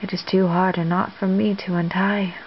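A woman playing a troubled young woman disguised as a page in a soft, hushed, wistful Shakespearean monologue. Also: mid-range voice, flat delivery, normal speed, close to an everyday voice. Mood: rueful. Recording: dull lo-fi home recording, faint hiss.

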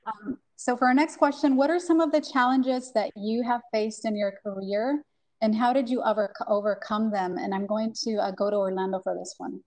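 A woman's voice: on the high side, fairly even intonation, normal speed, darkish tone, low-quality recording. A woman moderating a video-call panel, upbeat as she introduces the next question.

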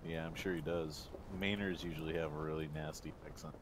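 Deep-voiced guy from new hampshire on voice chat, laid back, noisy line. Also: steady tone, fairly quick. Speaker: teammate. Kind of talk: casual gaming chat.

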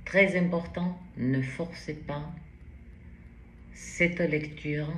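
Slow-talking accented woman reading tarot. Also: low voice. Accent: foreign accent in French. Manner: slow, halting, many fillers and restarts. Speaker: tarot card reader. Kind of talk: casual tarot reading to camera. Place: bright indoor room at a table. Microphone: camera in front at table height. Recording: roomy echo, faint background hiss.

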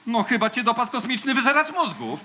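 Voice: medium pitch, lively intonation, fairly quick, pushed and bright, a little hiss or hum. An actor playing a cocky, bossy, easily flustered young boy space warrior in a children's radio play, blustering and boastful. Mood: grudging, gruff.

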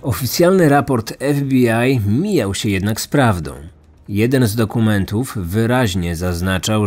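A man's voice: lowish voice, a little mellow. A man hosting a podcast with scripted true-crime narration. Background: faint music.

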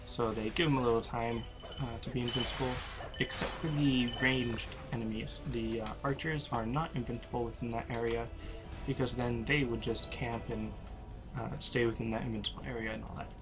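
A tired young man, losing his voice, giving low-energy, rambling commentary over a video game. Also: steady tone, moderate pace, over music. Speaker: gameplay commentator. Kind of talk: casual let's-play commentary.

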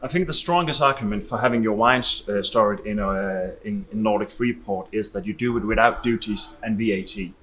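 A man, answering as an expert in a promotional interview, explanatory and slightly halting. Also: fairly low pitch, fairly bright tone, a little hiss or hum. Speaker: wine investment expert. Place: large indoor warehouse, walking.

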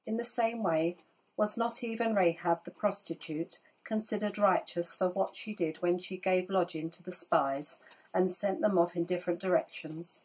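A woman reads scripture aloud at home, steady and deliberate. Her voice is on the low side with a soft, breathy edge. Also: poor audio. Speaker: scripture reader. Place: indoors at home, reading from a Bible.

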